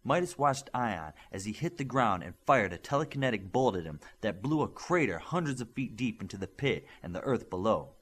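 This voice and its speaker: A man reading an audiobook aloud, with steady, expressive storytelling. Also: lowish voice, brisk, hardly any pauses, slightly rough. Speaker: audiobook reader. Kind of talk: audiobook narration.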